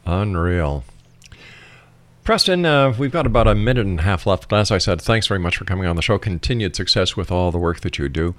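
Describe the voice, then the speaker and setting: A man's voice: low-pitched, expressive, brisk, a bit bright. A man hosting a radio interview in polished broadcaster phrasing. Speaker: radio show host.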